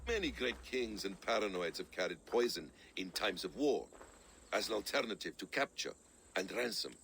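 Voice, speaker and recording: An actor playing a calm, world-wise warrior adviser with a gravelly voice. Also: male voice, fairly low pitch, normal speed, a little hiss or hum, exaggerated voice. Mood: grave, knowing.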